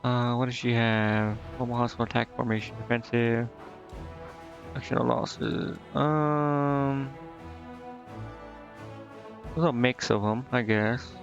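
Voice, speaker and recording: A man's voice: medium pitch, steady tone, slow, vocal fry, pauses often, dull audio. A man doing casual live game commentary, thinking aloud to himself in slangy, indecisive mutters. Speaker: game streamer. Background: game music.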